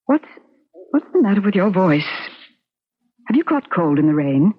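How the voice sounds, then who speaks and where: A woman's voice: mid-range voice, very animated, moderate pace, husky. A woman acting a frightened, indignant middle-aged wife in an old-time radio drama, flustered, stammering and prim. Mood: irritated. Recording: muffled old radio recording.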